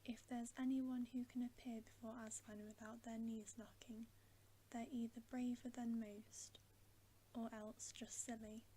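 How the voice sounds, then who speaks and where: A homely, motherly beaver wife from a storybook , read by a woman. She is frank and plain-spoken, talking in a slow, mellow hush. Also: on the high side, level tone, a little hiss or hum, natural voice. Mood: frank, knowing. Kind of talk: whispered storybook character reading.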